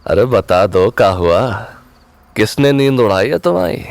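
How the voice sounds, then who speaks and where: An actor playing a taunting, mocking young village thug in an audio drama, the villain of the story. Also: male voice, on the low side, big pitch swings, unhurried, gravelly, a little mellow, no room sound, full character voice. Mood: teasing. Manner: mocking, leering, laughs at others' pain.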